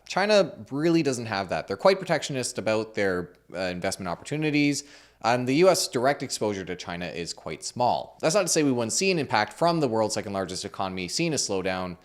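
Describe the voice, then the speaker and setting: A man hosting a finance channel, explaining the market in a measured, neutral way while hoarse and losing his voice. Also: fairly low pitch, sing-song. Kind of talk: explainer commentary.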